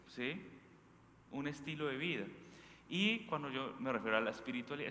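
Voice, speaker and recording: A man's voice: mid-range voice, pushed and bright. A man with a Bogotá Colombian accent giving an informal sermon as a priest, conversational and emphatic, often checking in with his listeners through short questions. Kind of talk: informal sermon, preached talk with anecdotes. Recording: echoey hall with faint background noise.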